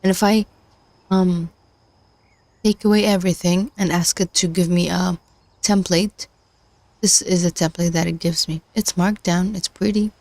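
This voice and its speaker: A woman's voice: lowish voice, steady tone, measured pace, long pauses, a little mellow, no echo. A woman hosting a casual livestream, thinking aloud with many fillers and restarts while she is losing her voice. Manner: hesitant, many fillers and restarts, talks to herself. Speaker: livestream host learning machine learning.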